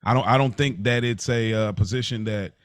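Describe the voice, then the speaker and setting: A man's voice: lowish voice, a little fast, dry recording, studio quality. A man co-hosting a podcast in casual, slangy chat, circling back and repeating himself to make his point. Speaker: podcast co-host.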